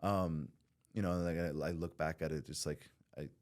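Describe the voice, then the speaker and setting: Male guest from Southern California, very low voice; candid, profane, loose and rambling delivery. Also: steady tone, moderate pace, darkish tone. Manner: blunt, swears freely, rambling with restarts. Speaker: podcast guest in recovery. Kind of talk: candid podcast conversation.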